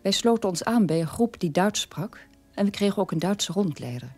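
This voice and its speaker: An actress playing a sober middle-aged daughter of a war criminal, telling her past in a measured radio-play monologue with a husky voice. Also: medium pitch, expressive, darkish tone, faint music behind. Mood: sober, reflective.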